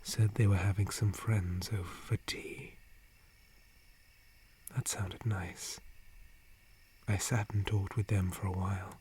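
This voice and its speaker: A man playing a gentle, calm, friendly dryad forest guardian in a breathy ASMR whisper, with a soothing manner. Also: sits low, a little hiss or hum. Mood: friendly, relaxed. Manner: slow, soothing, unhurried. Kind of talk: ASMR roleplay.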